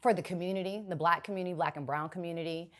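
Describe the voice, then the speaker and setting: Raspy-voiced woman in her forties. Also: rapid-fire. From South Central Los Angeles. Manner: animated, jumps up in pitch when protesting. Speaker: guest. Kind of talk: casual interview chat.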